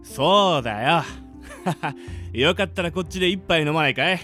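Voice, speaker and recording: Man acting a bright, hearty festival drinker. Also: on the high side, animated, moderate pace, faint music behind. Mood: jovial, inviting. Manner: rough, folksy, familiar. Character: hearty, rough-spoken festival drinker, a friendly dead man. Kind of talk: radio drama acting.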